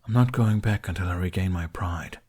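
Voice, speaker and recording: A proud dragon speaks in a low male voice, as a man narrates him in an audiobook. He is brooding and terse, weighed down by shame, with a growling edge. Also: normal speed, a little mellow, slightly rough. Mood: defeated.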